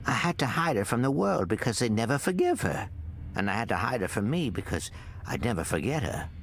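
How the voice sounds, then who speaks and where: An actor playing a weary old psychic agent in a video game, guilt-ridden and cryptic, with a gravelly, halting, confessional delivery. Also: male voice, medium pitch, sing-song, slight background noise. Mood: remorseful, haunted. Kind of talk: video game cutscene acting. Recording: loud music under the voice.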